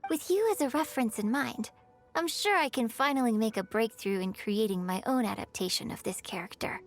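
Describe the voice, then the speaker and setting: A woman playing a graceful young opera performer in a video game, speaking in a poised, articulate, gently instructive way. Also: on the high side, a little fast, close to an everyday voice. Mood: earnest, thoughtful. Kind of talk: scripted video game character dialogue. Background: music.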